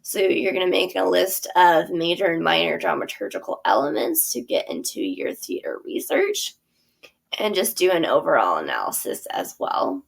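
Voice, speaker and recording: A woman's voice: on the low side, sing-song, moderate pace, vocal fry, breathy edge. A woman theater teacher giving a friendly instructional walkthrough of her curriculum over a screen share, with frequent ums and small self-corrections.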